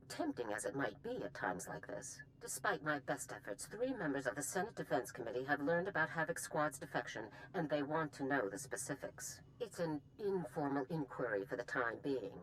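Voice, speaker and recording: A calculating female general in a video game. Her voice is extremely low, with sweeping intonation and a clipped, commanding manner. Faint noise runs behind her. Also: rough recording. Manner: clipped, authoritative. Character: stern, calculating older female military general, politics-weary.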